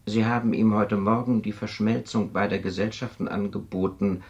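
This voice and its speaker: A man's voice: on the low side, little pitch movement, darkish tone, lo-fi sound, natural voice. An actor playing a formal, probing, insistent male telepath adviser in a 1970s radio drama. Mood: grave, insistent. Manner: deliberate, probing.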